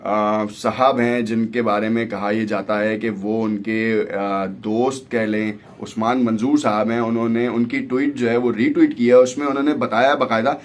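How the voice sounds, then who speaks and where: A man's voice: fairly low pitch, flat delivery, normal speed, few pauses. A man with a Pakistani accent hosting a political vlog, giving conversational news commentary sprinkled with English words.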